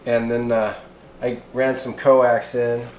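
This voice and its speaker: The voice is a man's, medium in pitch, recorded in an echoey room with faint noise behind it. He hosts a casual product demo without a script. His talk is halting and rambles along in a folksy way. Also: level tone, a little mellow. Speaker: video host reviewing radio gear. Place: indoors in a home kitchen.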